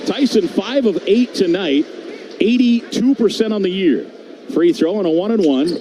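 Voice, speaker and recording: A man's voice: sits high, animated, moderate pace. A man giving live radio-style basketball play-by-play as a commentator, with noisy arena ambience behind him. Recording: clear background noise.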